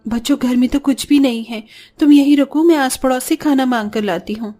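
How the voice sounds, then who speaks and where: Lady playing a worried poor mom in a cartoon, gentle n earnest, highish voice, faint music under it. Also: brisk. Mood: concerned. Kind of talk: cartoon story voice acting.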